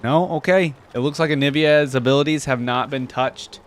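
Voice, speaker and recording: A man's voice: medium pitch, steady tone, normal speed, slight background noise. A man giving casual, rambling reaction commentary as a video host.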